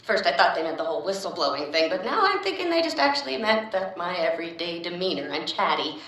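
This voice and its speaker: Audio drama captive: chatty American test subject, jokey and rambling, bright voice in a strongly echoing box. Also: female voice, fairly low pitch, big pitch swings, quick pace. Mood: wry, chatty. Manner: rambling, motor-mouthed, jokey. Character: chatty, nervy, wisecracking female prisoner test subject. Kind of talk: naturalistic audio drama acting. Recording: very echoey, boxed-in room sound.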